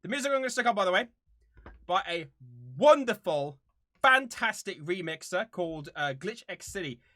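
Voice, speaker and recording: A man's voice: sits high, animated, moderate pace, sharp tone, dry recording, clean studio sound. A man of about thirty chatting casually to viewers as a channel host, in a playful, theatrically mock-serious way. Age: around 30.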